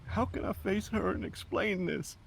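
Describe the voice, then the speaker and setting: A gravelly grieving stepfather. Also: sits high, slight background noise, low-quality recording. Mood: anguished. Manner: anguished, slow and broken. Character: grief-stricken middle-aged stepfather, gruff ex-soldier. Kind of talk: video game voice acting.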